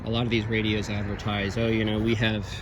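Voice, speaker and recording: A man with a fairly low voice talks through gear in an informal, explanatory review. He speaks haltingly and technically, piecing it together unscripted. Clear traffic and outdoor noise sits behind him. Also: monotone. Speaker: radio equipment reviewer.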